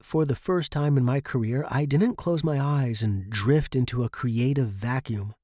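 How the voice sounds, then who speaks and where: Guy reading a short story in first person, medium pitch, dry sound, expressive narration. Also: measured pace, dry recording.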